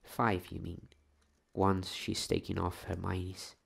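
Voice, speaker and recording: Man as young schoolboy, dry, matter-of-fact, slow, creaky, storybook. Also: low voice, completely flat delivery, exaggerated voice. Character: matter-of-fact young schoolboy, quietly correcting his friend. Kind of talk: storybook reading.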